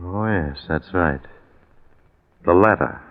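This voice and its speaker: An actor playing a concerned, steadying friend, earnest and admonishing in a deliberate 1940s radio drama style. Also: male voice, low voice. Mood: sober. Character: concerned, steadying male friend of a doomed man. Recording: very muffled old 1940s radio recording.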